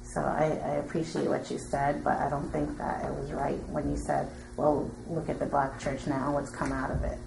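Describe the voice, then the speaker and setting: A woman speaking from the audience in a careful, halting, politely firm way. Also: low voice, flat delivery, vocal fry. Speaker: audience member commenting. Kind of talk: spoken comment in a question session. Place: room with an audience. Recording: roomy, noisy audience pickup.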